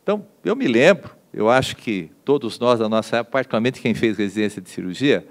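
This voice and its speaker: A man's voice: medium pitch, lively intonation. Man lecturing at a conference podium. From Brazil. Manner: measured, with frequent fillers and tag questions. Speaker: lecturer at a medical forum. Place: conference auditorium. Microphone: podium microphone.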